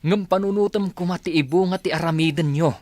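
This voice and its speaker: A man's voice: sits high, brisk, natural voice. An actor playing an uneasy henchman who pleads and warns in an Ilocano radio drama. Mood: urgent warning. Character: uneasy henchman pleading with and warning his partner.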